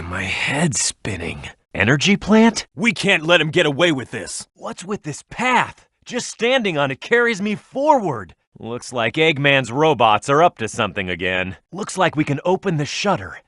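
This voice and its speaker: A man's voice: mid-range voice, a bit bright, dry recording, studio quality. A man playing a tough, hot-headed young echidna hero in a video game, with a brash, cocky, emphatic delivery. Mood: determined, curious. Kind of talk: video game voice lines, tutorial hints and battle shouts.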